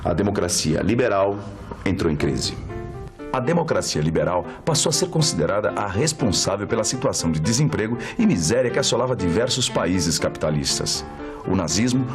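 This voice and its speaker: A man with a Brazilian accent delivering scripted narration for a history documentary. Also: low-pitched.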